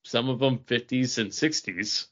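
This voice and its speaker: Bright-voiced man musing on a podcast. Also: mid-range voice, measured pace, dull audio. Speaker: podcast co-host. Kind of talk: casual group discussion about comics.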